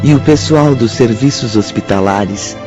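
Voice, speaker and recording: A man narrating a radio drama as a composed, solemn storyteller, formal and measured. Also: medium pitch, lightly acted. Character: composed, solemn male storyteller of a spirit novel. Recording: dull audio with music bed.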